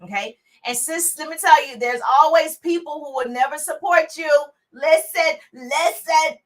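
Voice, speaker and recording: Energetic woman business coach on a live stream, big voice, high and bright, preachy and direct. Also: unhurried. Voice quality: big, full voice. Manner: energetic, preachy, talks straight to viewers with repeated calls to share. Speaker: motivational speaker and business coach.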